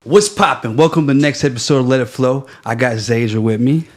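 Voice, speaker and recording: A young man from Florida hosting a podcast, asking curious, quick follow-up questions in a relaxed way. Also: mid-range voice, faint music behind. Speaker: podcast host. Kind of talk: casual interview chat.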